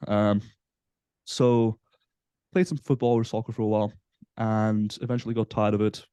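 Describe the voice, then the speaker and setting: A man in his twenties from Belgium, a guest on a casual podcast interview, explaining in a relaxed way with frequent fillers. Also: on the low side, moderate pace, smooth, no echo, studio quality. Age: 20s. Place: Southampton, UK.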